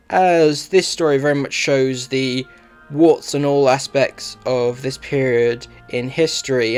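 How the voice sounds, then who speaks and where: A man giving a scripted review narration as a reviewer. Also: medium pitch.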